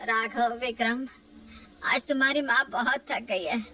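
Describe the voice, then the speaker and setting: A woman's voice: a little high, measured pace, bright, forceful tone, recorded from a distance, rough recording. A woman voicing a proud older mother-in-law who scolds her family and later admits she is worn out. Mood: weary, remorseful.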